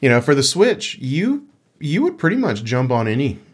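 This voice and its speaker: A man's voice: mid-range voice, wildly swooping pitch, normal speed, slightly rough. A man co-hosting a podcast, chatting casually and emphatically about video games in an informal way. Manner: enthusiastic, emphatic, informal with swearing. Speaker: podcast co-host.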